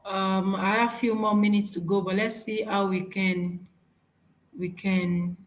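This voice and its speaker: A woman's voice: medium pitch, level tone, a little slow, reverberant. A woman with a Nigerian accent, whose first language is Yoruba, giving an earnest, encouraging workshop talk with slides, often restarting her phrases. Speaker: speaker.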